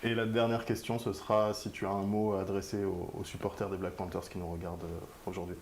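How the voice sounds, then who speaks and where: Male sports interviewer, low register, club web channel host, slight background noise. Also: flat delivery, brisk.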